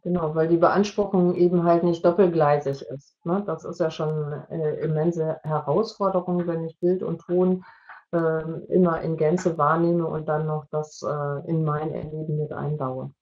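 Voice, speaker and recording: A middle-aged woman explaining as an expert in an online discussion, with measured, hesitant phrasing. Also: deep voice, steady tone, darkish tone. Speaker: expert panelist. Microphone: video call. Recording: dull, lo-fi video call audio.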